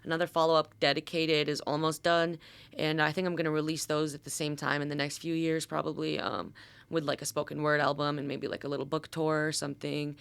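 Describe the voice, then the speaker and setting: Female podcast guest, twenties, American, smooth clear voice in a dry recording, casual rambling storyteller. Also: deep voice, brisk, no echo. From Detroit, Michigan, USA. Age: 20s. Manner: informal, rambling, animated storytelling with quoted speech. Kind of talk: casual chat.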